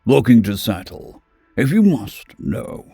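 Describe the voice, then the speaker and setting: A man voicing a towering bird-like alien, a former soldier who speaks in a deep, slow, clipped and disdainful way. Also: sing-song, mellow, husky, no echo, faint music behind, heavily acted.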